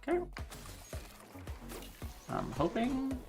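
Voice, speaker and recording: A woman's voice: high-pitched, huge pitch swings, extremely slow, music in the background. A woman live-streaming a video game, chatting casually with slangy, sweary exclamations. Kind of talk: casual gaming stream commentary.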